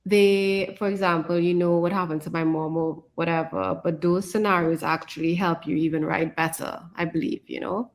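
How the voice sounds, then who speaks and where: Lower-pitched woman, encouraging author. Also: steady tone, normal speed, hardly any pauses. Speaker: author, co-presenter. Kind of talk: casual conversational talk about writing.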